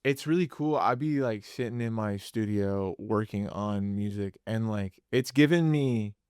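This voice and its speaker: A man's voice: fairly low pitch, measured pace, darkish tone, clean tone, no echo, clean studio sound. A young man, a musician co-hosting a podcast, chatting casually and rambling with lots of filler words. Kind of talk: casual chat.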